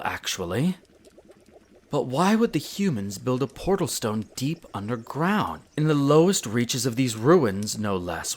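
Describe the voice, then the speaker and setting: A man playing a proud, prickly winter elf archaeologist who talks formally and haughtily in a scripted audio roleplay addressed to the listener. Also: medium pitch. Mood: intrigued, pondering. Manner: haughty, formal, exasperated, theatrical pauses.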